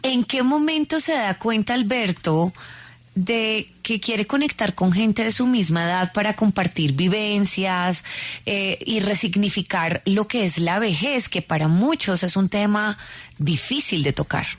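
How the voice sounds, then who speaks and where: A woman's voice: mid-range voice, measured pace, dry recording. A woman radio host introducing a guest and asking him questions in a conversational way. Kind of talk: radio interview.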